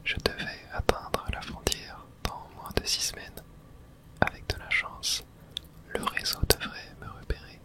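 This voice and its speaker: Soft whispering ASMR gamer. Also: unhurried. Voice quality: soft breathy unvoiced whisper. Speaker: video game streamer and host. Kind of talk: whispered ASMR game playthrough.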